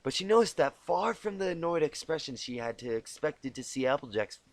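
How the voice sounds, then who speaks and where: A man's voice: a little high, animated, brisk, gravelly, very noisy. A man reading a story aloud informally, self-deprecating, stumbling and correcting himself as he goes. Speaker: amateur fan fiction reader. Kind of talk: informal storybook narration with asides. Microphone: cheap home microphone.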